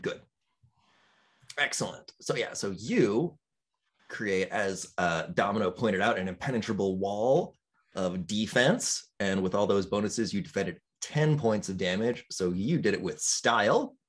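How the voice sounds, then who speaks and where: Slow, low-voiced male game master. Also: lively intonation, husky. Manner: encouraging, hesitant with frequent ums. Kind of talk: running a tabletop game over voice chat.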